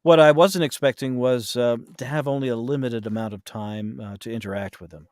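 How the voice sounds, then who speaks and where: A man's voice: medium pitch, steady tone, brisk, dry recording, clean studio sound. A man in a casual interview as a podcast guest, explaining things in a hesitant, self-correcting way. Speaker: podcast guest, voice actor and audiobook narrator.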